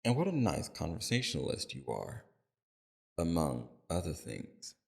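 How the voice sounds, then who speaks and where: A man playing a calm, courteous villain leader in an audio roleplay, speaking in a civil, measured, softly probing way with a very deep, gravelly voice. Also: heavily acted. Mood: gentle, amused.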